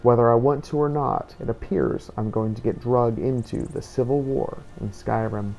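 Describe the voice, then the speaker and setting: A man narrating a game playthrough as a scripted first-person story, in a measured storytelling delivery. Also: mid-range voice, steady tone, creaky, mellow, slight background noise.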